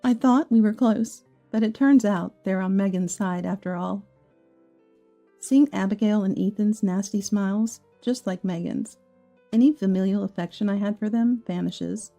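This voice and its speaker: Woman narrator, gravelly and dark, calm steady story reading, soft music behind. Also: medium pitch, moderate pace. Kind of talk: scripted first-person story narration.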